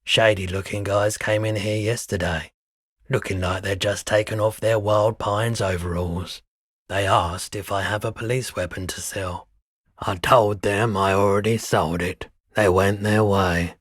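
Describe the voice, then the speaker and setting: An actor playing a laid-back, world-weary pawnshop owner in a video game, husky, soft-spoken and wistful, with an unhurried, low-key delivery. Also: male voice, fairly even intonation, no room sound, studio quality. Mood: weary, matter-of-fact. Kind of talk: video game character dialogue.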